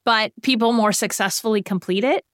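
A woman's voice: on the high side, brisk, no room sound, studio quality. A woman in her late thirties co-hosting a podcast, explaining ideas and giving everyday examples in a casual way. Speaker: podcast co-host.

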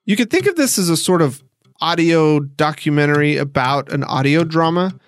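A man hosting a podcast, reading scripted narration in a measured way with deliberate pauses and emphasis. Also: on the high side, expressive, dry recording. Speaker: podcast host and interviewer. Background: music.

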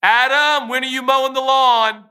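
A man voicing God as a nagging male boss who checks on chores, in a high, loud, mock-demanding voice. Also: steady tone, normal speed, clean tone.

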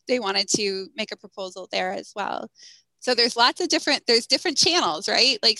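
Bright-voiced friendly woman guest. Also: on the high side, dry recording, poor audio. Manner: friendly, thoughtful, frequent ums, light laughs. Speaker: guest author, school counselor. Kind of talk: casual interview answers.

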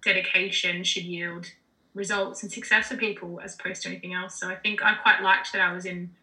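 A woman with an Australian accent talks casually as an interview guest, with plenty of fillers and restarts. Her voice is very bright and forward. The room around her rings with noticeable echo. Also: fairly low pitch, flat delivery, fairly quick. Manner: chatty, lots of fillers and restarts. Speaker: podcast guest, general manager.

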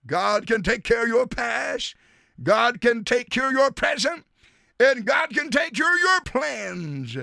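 Radio preacher guy, really high bright voice, slow and drawn out, keeps shouting at the sinner. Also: creaky, no room sound, studio quality. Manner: impassioned, often shouting, stretching out key words; repeats appeals like "dear sinner" and "my friend". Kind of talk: evangelistic sermon.

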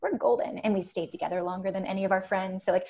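A soft-spoken woman, a podcast guest chatting casually and rambling with many fillers. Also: mid-range voice, fairly even intonation, fast. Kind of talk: casual chat.

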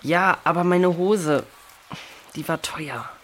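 Casual, grumbling young explorer. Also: male voice, fairly high pitch, takes it slowly, fairly bright tone, slight background noise. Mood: mildly grumbling. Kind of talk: children's audio drama acting.

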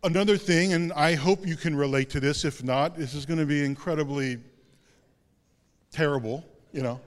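A male pastor giving a sermon in a conversational, self-deprecating way with casual asides. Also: moderate pace. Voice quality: deep voice. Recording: long hall reverb.

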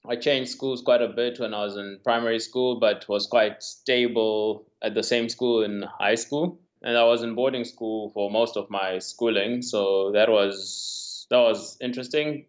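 A man of about thirty from Zimbabwe answers a podcast host over an internet call. His voice sits low, and the audio is dull and lo-fi. He talks easily and keeps softening his points with "sort of.". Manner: relaxed, hedging with "sort of" and "yeah". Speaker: software engineer guest.

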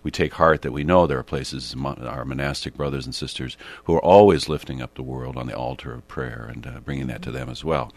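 A man with an American accent and a gravelly voice, talking reflectively and haltingly as a bishop hosting a radio show. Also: extremely low pitch, steady tone, no room sound. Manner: halting, meandering with frequent hesitations. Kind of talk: reflective, conversational radio talk.

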